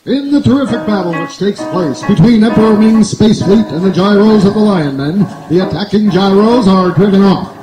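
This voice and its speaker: Casting: male actor as dramatic serial announcer, raised pitch, urgent, live roomy sound with music underneath. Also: normal speed, few pauses, close to an everyday voice. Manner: urgent, theatrical. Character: excited old-time radio serial announcer. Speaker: narrator. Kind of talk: dramatic scripted radio serial narration. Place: outdoor woodland stage at night. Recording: echoey live stage over music.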